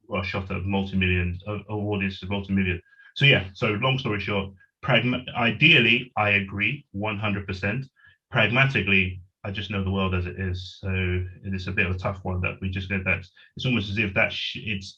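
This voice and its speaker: A man's voice: sits low, bright, forceful tone. A middle-aged man from Nigeria, a writer on a discussion panel, talking in a rambling, self-correcting way over a video call. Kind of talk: discussion, webinar. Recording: dull lo-fi call audio.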